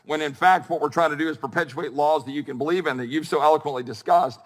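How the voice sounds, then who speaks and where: A man questions a witness as a lawmaker at a formal hearing. He talks fast in a fairly high male voice, with hall reverb.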